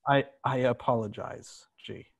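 A man in a play reading, playing a polite, servile talking smart table with a halting, apologetic, sometimes sing-song manner. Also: medium pitch, steady tone, creaky, dull audio. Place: indoors at home, on a video call.

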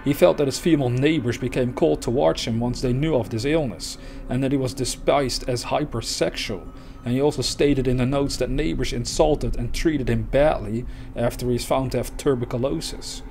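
A man's voice: mid-range voice, fairly even intonation, moderate pace, vocal fry, a little mellow, a little hiss or hum. A man narrating true crime, reading written accounts aloud and adding informal, conversational comments. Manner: conversational, informal asides between read passages.

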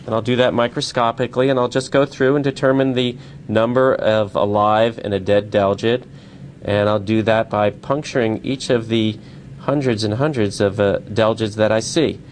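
A man with a fairly low voice talks through his research. His pace is unhurried and his explanations are patient, broken by small filler pauses. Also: fairly even intonation, slight background noise.

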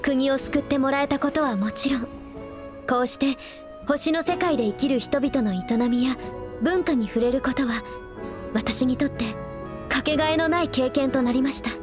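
An actress playing an earnest young princess in a game, speaking in formal, polite speech. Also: female voice, high voice, moderate pace, lightly acted. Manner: formal polite speech, flustered when teased. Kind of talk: Japanese game dub acting. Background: game music.

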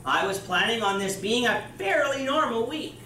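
A man's voice: very high voice, lively intonation, normal speed, sharp tone, slight background noise. A young man preaching a sermon in a church meeting room, explaining and gesturing broadly as he speaks. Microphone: far camera. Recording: roomy hall, distant mic.

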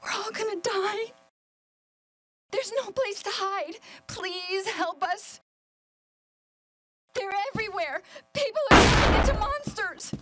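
A woman's voice: very high voice, slow, long pauses. An actress playing a terrified young female civilian in a video game, pleading in panic over background noise. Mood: panicked, pleading.

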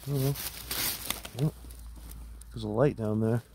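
A man narrating casually as he explores rocky woods, pointing things out with excitement while scrambling over rocks on a handheld camera. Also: mid-range voice, unhurried. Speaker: vlogger exploring outdoors. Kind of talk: casual handheld walking commentary. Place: outdoors in rocky woodland. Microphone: handheld action camera. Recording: outdoor camera audio with clear wind and rustling.

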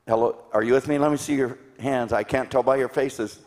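A man in his sixties preaching a sermon to a congregation with emphatic delivery, rhetorical questions and deliberate pauses for stress. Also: mid-range voice, steady tone, a little mellow. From grew up in Tanzania. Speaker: guest preacher. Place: large church sanctuary, indoors. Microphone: handheld microphone. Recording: echoey church hall sound.

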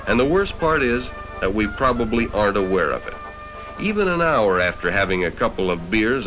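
An American man narrating a 1960s educational film from a script in a stern, lecturing, condescending manner. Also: fairly low pitch. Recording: dull vintage film soundtrack with music.

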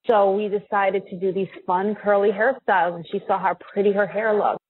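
A woman's voice: medium pitch, fairly even intonation, moderate pace, slightly rough. An American woman in her forties chatting casually as a guest in a podcast interview. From United States. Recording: thin, phone-like line.

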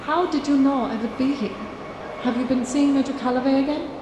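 High voiced wounded proud woman actress acting it out, reproachful radio drama, noisy echoey hall. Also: brisk, close to an everyday voice. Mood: anxious, questioning. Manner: emotional, reproachful. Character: proud, bitter, loyal young European woman in love.

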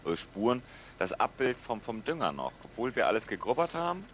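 A man with a northern German accent chatting calmly while co-playing a farming game, explaining things plainly. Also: medium pitch, brisk, a little hiss or hum. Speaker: co-player. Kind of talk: casual gaming chat.

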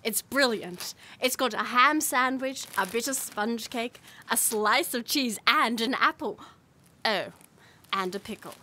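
A woman's voice: on the high side, measured pace, bright, forceful tone. A young woman playing a plucky, flippant princess in an audio drama, speaking in a chatty, wry, informal way. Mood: cheerful, flippant. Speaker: lead actor and narrator. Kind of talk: audio drama, first-person narration and dialogue. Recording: music under the voice.

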